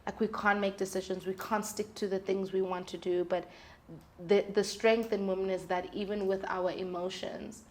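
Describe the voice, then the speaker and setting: A woman's voice: mid-range voice, moderate pace. Young woman telling her story. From South Africa. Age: young adult. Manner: candid, expressive, slangy. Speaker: guest, singer-songwriter. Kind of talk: casual interview storytelling.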